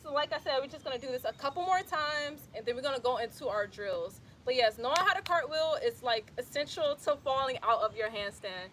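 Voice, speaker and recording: A woman's voice: high voice, animated, a little fast, few pauses, a bit bright, slight background noise. A young woman from Atlanta with an Atlanta accent, chatting casually and laughing often as she teaches handstand practice outdoors, filmed on a phone. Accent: Atlanta, Georgia. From Atlanta, Georgia, US. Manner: chatty and laid-back, says "y'all" and laughs often. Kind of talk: casual workout instruction. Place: outdoors in bright sun on an exercise mat.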